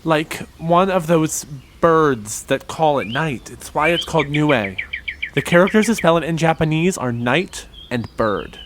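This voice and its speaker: A man's voice: fairly high pitch, lively intonation, a little slow, fairly bright tone, slight background noise. An actor playing a hesitant, earnest young man in an audio drama, speaking in an unsure way. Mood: explanatory.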